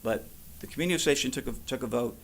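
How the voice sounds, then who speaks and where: Gravelly man speaking formally at a podium. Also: medium pitch, racing. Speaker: community association representative. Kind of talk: public comment at city meeting. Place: city council chamber. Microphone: podium microphone.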